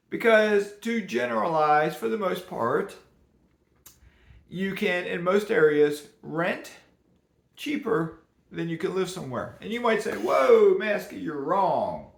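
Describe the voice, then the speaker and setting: A man with a rough, hoarse voice today, chatting casually and rambling about personal finance at home. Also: high-pitched, expressive, unhurried, roomy sound. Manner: rambling, chatty, laughs at himself. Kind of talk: casual unscripted talk to camera.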